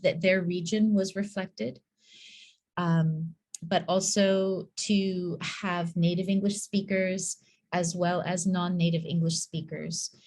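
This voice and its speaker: Woman, school leader presenting at a conference. Unhurried, reflective, lowish voice. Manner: measured, reflective, with soft laughs. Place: conference hall.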